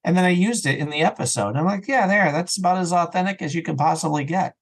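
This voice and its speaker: A man chatting casually as an interview guest, animated and often voicing his own thoughts as quoted self-talk. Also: sits high, fast talker, breathy edge. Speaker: podcast guest, audio drama creator and voice actor.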